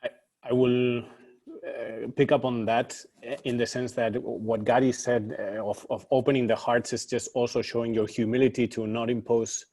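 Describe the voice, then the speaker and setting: A man's voice: medium pitch, flat delivery, normal speed, darkish tone. A man on a panel speaking persuasively, repeating words as he thinks. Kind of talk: panel discussion.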